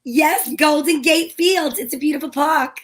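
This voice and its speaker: Woman doing breezy 1950s radio lady private eye, pretty high voice, amateur play reading. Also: a little slow, fairly bright tone. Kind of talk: old time radio play read by amateurs.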